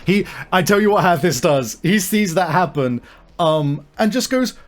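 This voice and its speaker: A man improvising narration as a game master, halting as he searches for words and swearing casually. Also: high voice, expressive, faint music behind. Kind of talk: improvised narration of a tabletop role-playing game.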